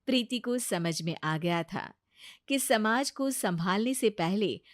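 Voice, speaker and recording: A woman reads a scripted moral tale as its narrator. Her delivery is expressive, with lively intonation. The recording is dry, with little room sound. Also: mid-range voice, takes it slowly, no room sound. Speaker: story narrator.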